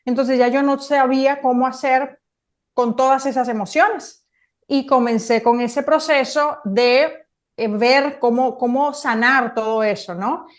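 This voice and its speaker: Venezuelan woman guest, slightly high voice; earnest, expressive storyteller. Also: a little slow. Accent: Venezuelan Spanish. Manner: earnest, expressive, with many "wow"s. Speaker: guest, mentor and coach. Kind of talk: interview storytelling.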